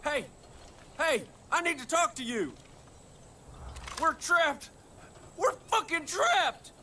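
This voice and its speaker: A desperate country man in a video game, his voice pushed very high and swinging widely. He pleads in an agitated, sharp tone over faint noise. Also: big pitch swings, slow pace, full character voice. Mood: panicked, pleading. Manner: agitated, pleading, foul-mouthed. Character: frantic, desperate rural husband and pilot. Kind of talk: cinematic video game acting.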